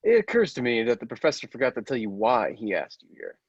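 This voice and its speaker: A man's voice: mid-range voice, big pitch swings, fast, slightly rough, dry recording. A man playing an inventor's earnest, persuasive assistant in an old-style radio play, with a theatrical, urging manner. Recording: dull lo-fi, dry sound.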